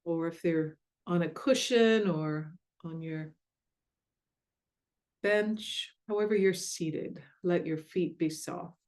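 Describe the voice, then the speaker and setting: Female meditation guide, lowish voice, very slow delivery, gentle and soothing with long pauses. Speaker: meditation guide and mindfulness coach.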